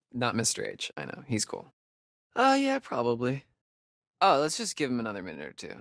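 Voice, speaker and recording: A man's voice: medium pitch, huge pitch swings, vocal fry, fairly bright tone, no room sound, full character voice. Wisecracking young American guy, dry recording. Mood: teasing, prodding. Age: young adult. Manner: casual, sarcastic, halting asides. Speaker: video game voice actor. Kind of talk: naturalistic video game dialogue.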